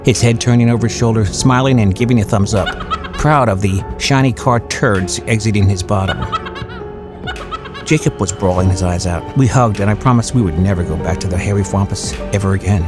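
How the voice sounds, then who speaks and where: A man's voice: lowish voice, fairly quick, close to an everyday voice. A man playing a middle-aged suburban father who tells a small-town horror story in a folksy, confiding, conversational way. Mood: wry. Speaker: narrator of an audio horror story. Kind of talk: conversational first-person scripted storytelling. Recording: music bed under the voice. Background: background music.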